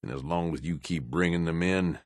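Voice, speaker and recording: Low-voiced, gruff, world-weary raider played by a man. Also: moderate pace, no echo, studio quality, natural voice. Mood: easygoing, sincere. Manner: blunt, world-weary, drawling slang and profanity. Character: gruff, pragmatic middle-aged raider right-hand man. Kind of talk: video game character dialogue.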